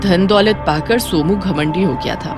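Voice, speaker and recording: A woman's voice: on the low side, normal speed. A woman narrating a scripted children's moral story. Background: music.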